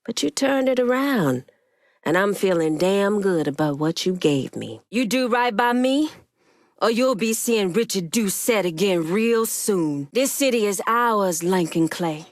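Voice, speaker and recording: A woman playing a commanding, wary female gang leader in a dramatic video game, speaking deliberately and sternly with folksy turns of phrase. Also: mid-range voice, lively intonation, dry recording, studio quality. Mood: assertive.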